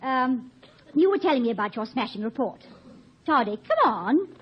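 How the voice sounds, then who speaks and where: An actress playing a college president's devoted, reassuring wife in a 1950 radio comedy, gentle and tactful. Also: female voice, sits high, big pitch swings, normal speed, husky, full character voice. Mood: coaxing, light. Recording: muffled old radio recording.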